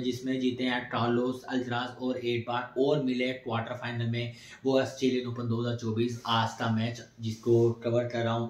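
A young man talks straight to camera in a small room, running through set scores in quick, energetic lists. His voice sits at an ordinary middle pitch. The room around him is bare and echoey, with the camera a little way off. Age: young adult. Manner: energetic, recaps scores in quick lists, gestures while talking. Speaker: sports channel host. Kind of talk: post-match tennis analysis to camera.

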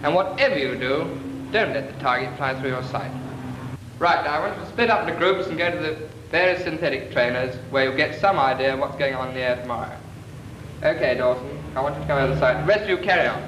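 Actor as a crisp flying instructor. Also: male voice, fairly high pitch, quick pace, natural voice. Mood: instructive. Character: brisk male naval flying instructor. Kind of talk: 1940s training film acting. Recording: echoey, hissy 1940s film soundtrack.

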